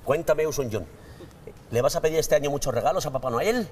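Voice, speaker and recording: A man's voice: on the high side, expressive, brisk, darkish tone, slight background noise. A man hosting a comedy news show, delivering scripted jokes in a TV studio. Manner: jokey, punchline delivery. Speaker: host.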